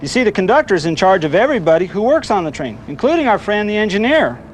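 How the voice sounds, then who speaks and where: A man's voice: high-pitched, very animated, fast, a little hiss or hum. A middle-aged man playing a friendly, hearty train conductor, talking to children. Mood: friendly, proud.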